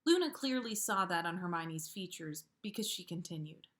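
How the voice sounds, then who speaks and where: A woman reading a fan fiction story aloud on a livestream, in a measured way with pauses. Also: fairly low pitch, lively intonation, fairly bright tone. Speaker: podcast host reading listener fan fiction.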